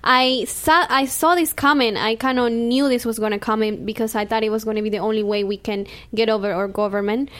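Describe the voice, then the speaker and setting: A woman's voice: on the high side, fairly even intonation, fairly quick, hardly any pauses, fairly bright tone. A young woman from Venezuela with a Venezuelan accent, a guest chatting casually in a radio interview, filling her talk with "um" and "you know.".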